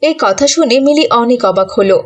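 A woman's voice: a little high, expressive, a little fast. A woman narrating a scripted children's story.

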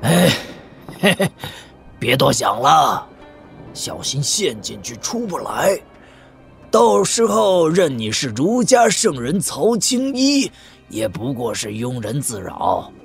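Casting: eccentric old schemer sage, gravelly, slow and drawn out, swooping theatrically, cynical; wuxia radio drama. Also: male voice, medium pitch, very animated, faint music behind, exaggerated voice. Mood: wry. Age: older. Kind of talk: Chinese wuxia audio drama acting.

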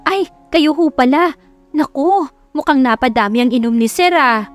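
A woman's voice: high-pitched, expressive, moderate pace, pitched above the performer's own voice. Woman playing female household maid, Filipino accent, fretful, respectful, caring, audiobook drama, background music. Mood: concerned.

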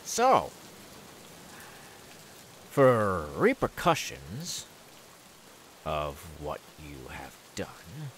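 Man acting a slow, menacing killer, wildly swinging pitch. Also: huge pitch swings, fairly bright tone, slight background noise, exaggerated voice. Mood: brooding menace. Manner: slow, taunting, drawn-out repeated words. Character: menacing male killer, taunting, simmering with anger. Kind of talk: ASMR audio roleplay.

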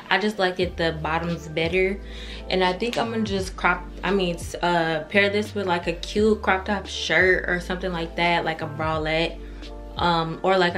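Young woman doing a casual haul vlog, kinda low voice, lots of slang n swearing, soft music in back. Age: young adult. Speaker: fashion vlogger. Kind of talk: casual chatty try-on haul. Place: carpeted bedroom indoors.

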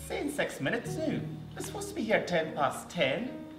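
Young Scottish male performer, animated multi-voice delivery, roomy stage recording with faint music. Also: high-pitched. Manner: quick switches between many lively overheard voices. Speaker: spoken word performer. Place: dark theatre stage.

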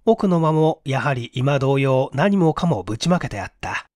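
A man's voice: mid-range voice, expressive, moderate pace, darkish tone, no echo, clean studio sound. A man reading a mystery story as dramatic, suspenseful, theatrical audiobook narration. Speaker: audiobook reader.

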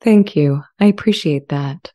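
A woman playing a gentle, earnest artificial intelligence in a dramatized reading, speaking in measured, articulate phrases. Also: low-pitched. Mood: curious, gentle. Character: sentient AI language model, earnest, gentle, eager to be accepted. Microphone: close studio microphone with pop shield.

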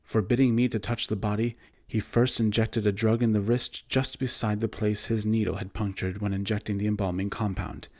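Male narrator for suspense and horror fiction: slightly deep, measured delivery, formal literary reading. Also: level tone, a little mellow. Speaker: audiobook narrator and voice actor. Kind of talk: scripted narration of a horror story.

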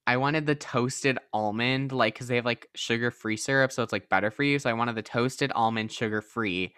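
A teenage boy hosting a podcast, chatting casually with a friend in a sassy, animated way. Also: medium pitch, moderate pace, clean tone, no room sound, clean studio sound. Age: teens. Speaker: podcast host. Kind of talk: casual chat.

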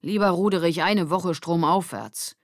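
A woman voicing an insecure, frustrated boy with stage fright, halting and emphatic, in a children's audiobook. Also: fairly low pitch, no echo, clean studio sound. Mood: dejected.

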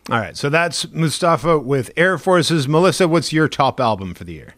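A man's voice: on the high side, lively intonation, moderate pace, a bit bright. A man hosting a radio chat about the year's best albums, asking guests enthusiastic questions. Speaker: host.

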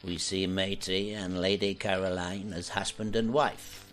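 A man playing a solemn narrator of a radio play, measured and literary. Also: low-pitched, fairly even intonation, faint music behind.

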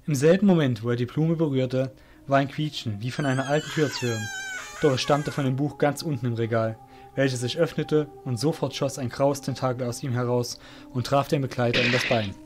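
A man's voice: medium pitch, fairly even intonation, fairly quick, noisy background. A man reading scripted narration for an audio drama as the narrator.

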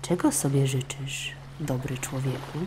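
A woman voicing a small magical golden fish queen in a storybook, soft, courteous and calm. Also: rumbling low, a little slow, a little hiss or hum. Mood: gracious.

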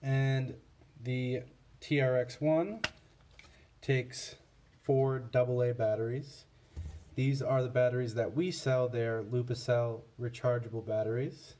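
Man, unhurried slow speech, casual product demo, halting, matter-of-fact, unscripted. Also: medium pitch, fairly even intonation, mellow, slight background noise. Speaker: product reviewer for a scanner seller. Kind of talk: casual product comparison demonstration.

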